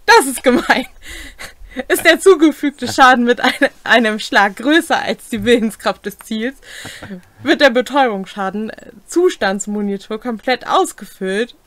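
A woman's voice: a little high, unhurried, a bit bright, dry recording. A young adult woman casually reading out and discussing tabletop game rules as a co-host. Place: small indoor home room.

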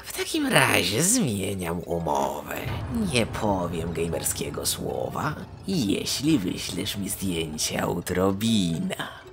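A man playing a sly, taunting clown supervillain in a comedy fan dub, with a theatrical, drawn-out delivery. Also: sits low, wildly swooping pitch, creaky, noisy background, full character voice.

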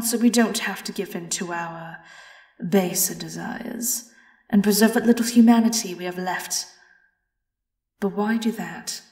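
A woman's voice: mid-range voice, a little mellow, close to an everyday voice. A British woman playing a vengeful, silky vampire in an audio horror roleplay, theatrical and taunting, slipping into whispers. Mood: menacing, mocking. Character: vengeful female vampire singer, silky, mocking, gloating predator. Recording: roomy, distant, music behind.

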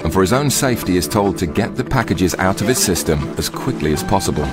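A man reading a scripted documentary voice-over in a measured, suspenseful way. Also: low voice, animated. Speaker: documentary narrator. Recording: loud background music.

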